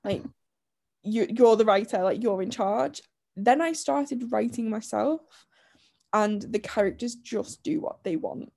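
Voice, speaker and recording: A woman co-hosting a podcast in casual chat, her voice croaky from a lingering chest illness, talking chattily with frequent laughs and restarts. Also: on the high side, unhurried, dark tone. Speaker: podcast co-host.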